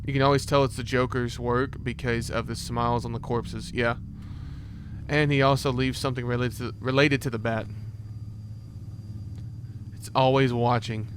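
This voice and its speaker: A man's voice: mid-range voice, level tone, normal speed, long pauses, slight background noise. A man giving casual, slangy reaction commentary, thinking aloud, exclaiming and reading text off a screen. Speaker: reaction video host. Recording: music playing behind the voice.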